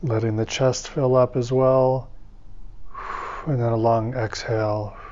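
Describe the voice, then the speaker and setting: A man leading a guided meditation as a teacher, speaking in calm, soothing, measured phrases with pauses between them. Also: mid-range voice, muffled recording.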